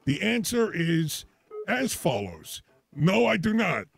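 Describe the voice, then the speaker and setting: A man with a fairly high, gravelly voice hosts a call-in show, bantering wryly and joking around. His delivery swings up and down with lively animation. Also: slow pace, faint music behind. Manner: joking, wry, laughs easily. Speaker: show host.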